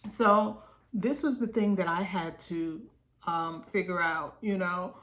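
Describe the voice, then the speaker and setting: A woman with a slightly raspy voice, coaching small business owners on a video call in a conversational way, often tagging "right?" onto her points. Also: on the low side, takes it slowly, fairly bright tone. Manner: reads quoted passages aloud, then explains.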